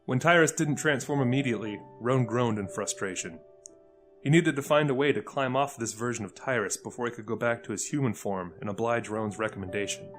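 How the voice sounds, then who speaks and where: Man, scripted story narration, mid-pitched voice, faint music bed. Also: a little fast, a bit bright. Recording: music under the voice.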